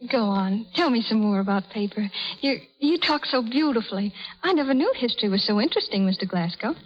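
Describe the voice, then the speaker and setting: A woman's voice: a little high, lively intonation, a little fast, lightly acted. An actress playing a young, spirited, impulsive working girl in an old radio drama. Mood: softened, admiring. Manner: impulsive, emotional. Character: young, spirited, hot-tempered but innocent working girl. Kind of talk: 1930s radio drama acting. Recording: muffled vintage radio broadcast.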